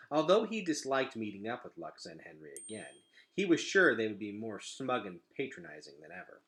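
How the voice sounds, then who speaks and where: A man reading a children's storybook aloud as an expressive narrator. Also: mid-range voice. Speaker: read-aloud reader.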